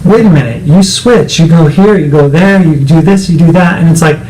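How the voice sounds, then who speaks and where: A man with a somewhat high voice talks through his thoughts in a personal conversation. He often pauses and restarts as he searches for the right words, and a faint hiss sits underneath. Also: a little mellow, poor audio. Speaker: co-host.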